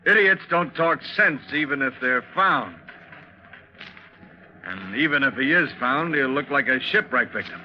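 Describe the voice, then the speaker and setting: A man plays a determined, haunted sailor in an old-time radio play. He speaks in a medium-pitched voice with earnest, vivid drama, on a dull vintage recording. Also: animated, unhurried, a little hiss or hum, close to an everyday voice. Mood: urgent persuasion. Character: haunted, determined young seaman narrating his mutiny. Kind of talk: 1940s radio acting.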